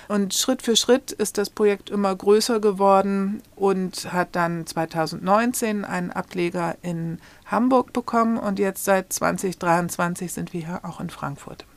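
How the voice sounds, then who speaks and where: A woman leading a media project, explaining its story fluently and in measured sentences as an interview guest. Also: on the low side. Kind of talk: interview answers.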